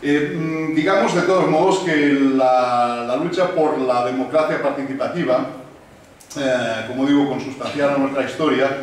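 A man in his fifties, a Catalan native, giving a measured talk as a guest speaker at a panel table, with many pauses and restarts. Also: medium pitch. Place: room with large windows, panel table. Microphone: desk mic on stand, filmed from across room. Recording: echoey room from a distance.

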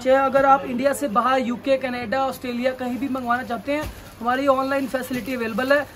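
Insistent high-voiced young shopkeeper. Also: male voice, flat delivery, a little fast, a bit bright. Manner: insistent, repeats reassurances. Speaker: shop owner. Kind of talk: sales pitch in a shop. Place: large indoor clothing shop.

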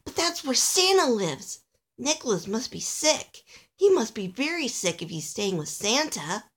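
A woman's voice: a little high, sing-song, a little slow, a bit bright, breathy edge, voice pushed up, full character voice. A timid little boy elf from a Christmas storybook , acted out by a woman. The voice is lifted and swoops with fretful, earnest exclamations. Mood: worried. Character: small, timid, anxious young male Christmas elf.

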